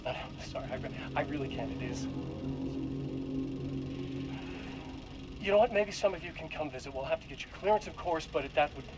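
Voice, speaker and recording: An actor playing an eager, awkward senior scientist addressing staff in a video game, stammering and rambling earnestly. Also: male voice, fairly high pitch, quick pace, heavy background noise, close to an everyday voice. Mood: flustered enthusiasm.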